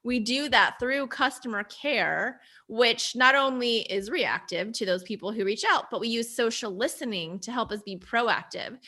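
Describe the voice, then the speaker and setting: A woman being interviewed speaks in a youthful, girlish voice that sits fairly high. Her tone is bright and forward, and she explains things in a conversational way. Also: normal speed, hardly any pauses. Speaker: interview guest, agency founder.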